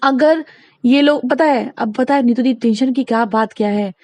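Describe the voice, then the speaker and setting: A woman's voice: high-pitched, normal speed, darkish tone, no room sound. A woman talking casually in a recorded phone conversation, giving advice insistently and repeating herself for emphasis.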